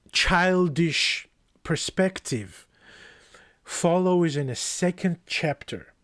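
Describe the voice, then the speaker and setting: A man's voice: a little high, dry recording, studio quality. A young man from Georgia, a native Georgian speaker, talking casually about a book he loves, thoughtful and hesitant with many restarts. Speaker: book reviewer.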